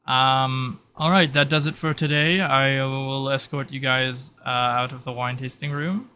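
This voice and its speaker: Male game master, bright tone, improvising and explaining haltingly on a slightly noisy home mic. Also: medium pitch, flat delivery. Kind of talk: improvised narration of an online tabletop roleplay. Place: online voice call.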